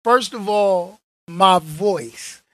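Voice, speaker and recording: A man hosting his own podcast in casual chat, hoarse from being under the weather, playful and slangy. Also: high voice, extremely slow, dry recording. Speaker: podcast host.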